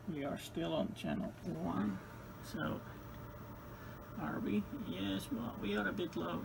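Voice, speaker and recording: A man giving an unscripted, hands-on technical demonstration as a tutorial host, explaining in halting pieces and often repeating his phrases. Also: a little high. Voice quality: creaky, gravelly voice. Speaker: radio repair tutorial host. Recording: clearly noisy home-made video sound.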